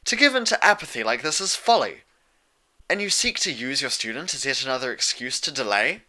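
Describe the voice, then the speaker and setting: A man voicing a proud, sharp-tongued younger-sister pony princess of the moon, speaking in formal, old-fashioned phrases for a storybook reading. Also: mid-range voice, expressive, fairly quick, voice pushed up. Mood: indignant. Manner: formal, archaic, challenging phrasing.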